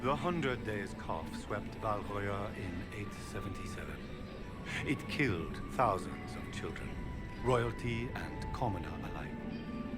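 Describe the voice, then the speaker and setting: An actor playing a proud, ambitious duke in a video game, speaking in a genial, grandiose, courtly way. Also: male voice, on the low side. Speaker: duke claiming the throne. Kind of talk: video game dialogue acting. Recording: music behind the voice. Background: game music.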